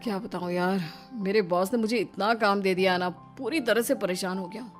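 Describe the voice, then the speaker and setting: A woman's voice: mid-range voice, normal speed, soft background music, voice pushed down, lightly acted. A woman voicing a weary, put-upon young husband in a cartoon comedy, complaining in a resigned way. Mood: tired complaint. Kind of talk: Hindi cartoon comedy voice acting.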